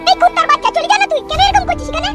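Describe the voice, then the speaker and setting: Over loud music comes a young village cartoon woman with an extremely high, squeaky voice. She rattles out her words at great speed. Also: racing. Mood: furious.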